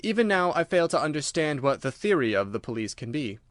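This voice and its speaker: A man voicing an earnest, curious gentleman, a detective's companion, in an audiobook, polite and questioning. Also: on the high side, sing-song, brisk, dry recording, studio quality. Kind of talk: audiobook character dialogue.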